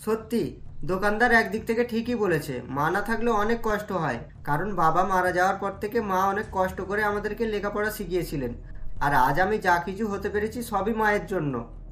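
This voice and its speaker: A woman's voice: medium pitch, animated, normal speed, a little hiss or hum, voice pushed down, lightly acted. A woman voicing a young married man in a cartoon, a doting but easily indignant husband who talks emphatically. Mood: reflective. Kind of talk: cartoon story dialogue. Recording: music under the voice.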